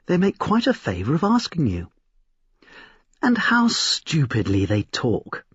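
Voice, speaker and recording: Man voicing gossipy young society women, pitched up. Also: wildly swooping pitch, a little slow, fairly bright tone, husky, exaggerated voice. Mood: critical, mocking. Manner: gossipy, emphatic. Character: chattering young society women, critical and enthusiastic. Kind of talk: storybook character reading. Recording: roomy, slightly dull recording.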